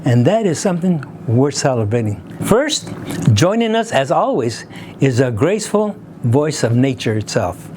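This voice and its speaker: A man's voice: medium pitch, sing-song, normal speed, background noise. A man hosting a community arts show, reading an upbeat introduction and interviewing a guest. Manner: upbeat, announcing. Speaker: host.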